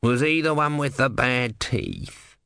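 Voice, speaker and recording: A man's voice: mid-range voice, slow, vocal fry, sharp tone, clean tone, no echo, clean studio sound, voice pushed up, heavily acted. A man voicing a grumpy, sardonic god trapped in the body of a tortoise, snappish and irreverent in an audiobook. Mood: snappish, scornful.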